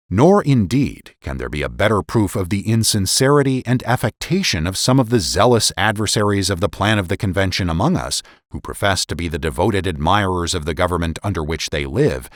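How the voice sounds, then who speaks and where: A man narrating a formal historical essay as a dramatic reading, with deliberate, oratorical phrasing and emphatic delivery. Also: lowish voice, no room sound, studio quality. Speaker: podcast host and narrator.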